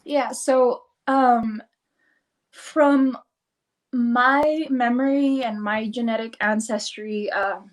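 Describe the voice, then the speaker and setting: A woman whose first language is Spanish, a guest on a livestream chat, explaining things in a fast, rambling way full of "like"s. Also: on the high side, fairly even intonation.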